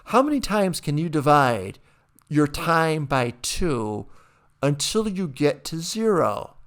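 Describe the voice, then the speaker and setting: A man's voice: on the high side, big pitch swings, takes it slowly. A man, a college math instructor, giving a conversational recorded lecture over a screen share, asking rhetorical questions and restarting his sentences often.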